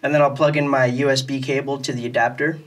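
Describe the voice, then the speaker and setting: A man's voice: medium pitch, flat delivery, fast, a little hiss or hum. A man giving a casual, step-by-step tutorial in a plain, methodical way. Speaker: music tutorial host.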